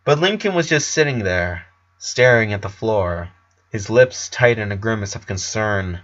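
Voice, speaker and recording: A man's voice: on the low side, animated, normal speed, a bit bright. A man narrating a story expressively, on a slightly dull, lo-fi recording.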